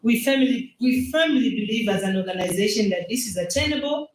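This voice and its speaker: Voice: medium pitch, lively intonation, fairly quick, reverberant, background noise. An organization representative giving a formal speech at a public event, with some restarts. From Zambia.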